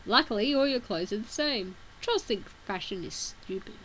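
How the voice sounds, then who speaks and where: A woman's voice: medium pitch, expressive, normal speed, fairly bright tone, slight background noise, low-quality recording. A woman with a sore throat reading a webcomic aloud, with casual asides between the read text. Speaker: reader of a webcomic.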